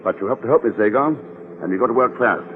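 An actor playing a suave, wry and persuasive secret agent in a 1940s radio drama. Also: male voice, mid-range voice, expressive, quick pace, background noise, close to an everyday voice. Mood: brisk, confident. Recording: muffled, narrow-band old radio recording, reverberant.

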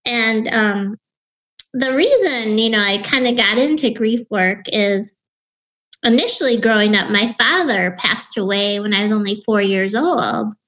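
Woman, 50s, Wisconsin accent, young-sounding, highish voice, reflective, dull lo-fi recording. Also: animated, fairly bright tone. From Wisconsin, USA. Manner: reflective, with halting restarts. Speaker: author and grief guest. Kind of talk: personal storytelling in an interview.

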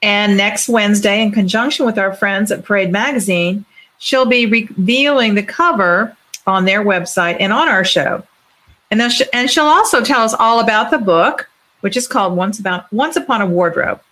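A woman's voice: mid-range voice, normal speed, fairly bright tone. An American woman co-hosting a live show, making casual announcements and chatting. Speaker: co-host.

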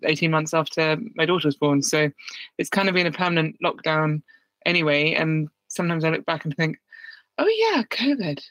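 A woman's voice: low-pitched, animated, normal speed, fairly bright tone, no echo, poor audio. A British woman in her thirties, a guest on an interview show calling in, talking candidly and chattily with a self-deprecating touch. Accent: British English. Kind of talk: casual interview. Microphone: remote call.

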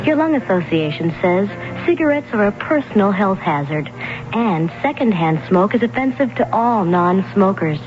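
A woman's voice: medium pitch, expressive, moderate pace. A woman reading a scripted 1970s public service announcement on the radio. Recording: dull 1970s radio broadcast. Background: music.

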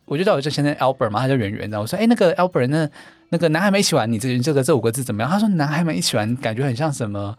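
Fast-talking, dry-sounding Taiwanese man. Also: mid-range voice, lively intonation, no echo. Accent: Taiwanese Mandarin. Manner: fast, eager storytelling with frequent laughs and repeated affirmations. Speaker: podcast guest, founder of a dating event group. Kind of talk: casual podcast chat.

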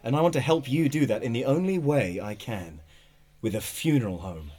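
An actor playing a smooth, affable funeral-home owner in an audio comedy, with a breezy, polished sales manner. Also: male voice, medium pitch, lively intonation, moderate pace, darkish tone, natural voice. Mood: persuasive. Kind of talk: audio sitcom acting.

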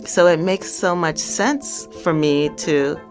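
A woman author from South Carolina speaking in a measured, thoughtful way, with soft laughs between phrases. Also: sits low, music in the background. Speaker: guest author. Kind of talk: reflective interview and talk excerpts.

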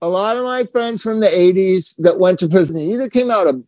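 A man's voice: high voice, a little fast, a little mellow, no echo. A man in his sixties, a podcast host telling rambling, profane stories in casual chat. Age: 60s.

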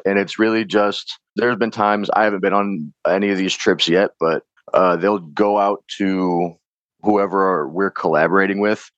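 Low raspy-voiced man, dry dull sound. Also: a bit bright, dry recording. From Chicago. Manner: laid-back, slangy, swears casually. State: slightly hoarse. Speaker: chef guest. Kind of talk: casual interview chat. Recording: dull, lo-fi podcast mic.

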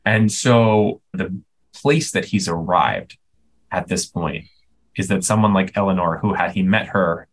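A man co-hosting a podcast, thinking aloud with halting restarts as he searches for words. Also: sits low, rough recording. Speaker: podcast co-host. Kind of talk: casual discussion podcast.

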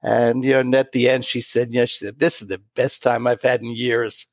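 A man in his late sixties telling a personal story in an interview, pausing and restarting often. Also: mid-range voice, flat delivery, dry recording. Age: 60s. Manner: warm, halting anecdotal storytelling with many restarts. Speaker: guest.

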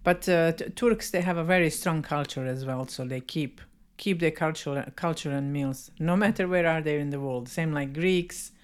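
A woman's voice: deep voice, moderate pace, few pauses, clean tone. A woman from Bosnia co-hosting a casual podcast chat, speaking directly in plain phrasing with small grammar slips. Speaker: podcast co-host.

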